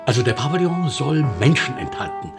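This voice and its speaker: An actor playing a fussy, bookish, sentimental librarian in a radio play, with a pedantic, hesitant manner. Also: male voice, mid-range voice, very animated, fairly quick. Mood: eager, hesitant. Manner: pedantic, hesitant, ornate wording. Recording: music behind the voice.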